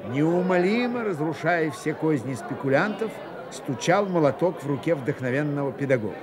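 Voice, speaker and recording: Male storyteller with a slightly high voice. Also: animated, normal speed, crowd noise. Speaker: narrator. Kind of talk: dramatised literary narration for radio.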